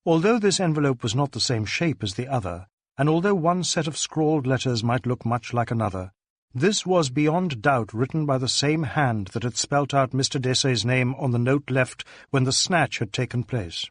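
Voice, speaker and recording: A man's voice: mid-range voice, lively intonation, normal speed, dry recording, clean studio sound. A man reading a detective novel aloud as a dramatic audiobook narrator.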